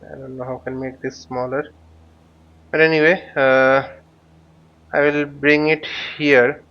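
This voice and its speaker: A man giving live flight simulator tutorial commentary as an instructor, haltingly thinking aloud as he demonstrates. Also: medium pitch, level tone. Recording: dull, lo-fi microphone sound.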